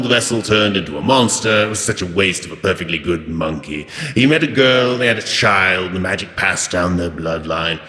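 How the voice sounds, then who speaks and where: An actor playing an ancient Egyptian god of chaos in an audio drama, petulant and wry, with a theatrical, sardonic manner. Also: male voice, low voice, bright, forceful tone. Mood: sheepish, defensive. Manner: theatrical, grandly sardonic, grumbling. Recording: long cavernous reverb on the voice.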